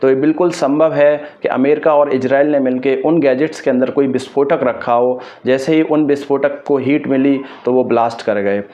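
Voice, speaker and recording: A man's voice: medium pitch, fairly even intonation, moderate pace, few pauses, warm tone. A man from India gives explanatory news commentary to viewers, speaking emphatically and opinionatedly and repeating his points. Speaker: host of an explainer video channel. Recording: dull lo-fi microphone sound.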